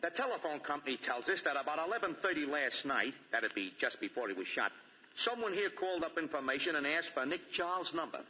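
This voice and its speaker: An actor playing a businesslike police lieutenant, matter-of-fact and clipped, in a 1940s radio mystery. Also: male voice, on the high side, very animated, brisk, sharp tone. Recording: muffled, reverberant 1940 radio broadcast.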